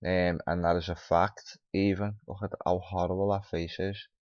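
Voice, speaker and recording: Very deep, monotone man on a dull mic. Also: normal speed, no room sound. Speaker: video host, commentator. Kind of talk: reading an article aloud with off-the-cuff commentary.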